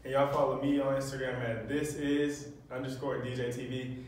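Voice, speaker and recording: A man's voice: medium pitch, level tone, normal speed, a little hiss or hum. A young man, a comedian guest, in laid-back casual banter. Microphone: far camera mic. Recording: echoey room from far off.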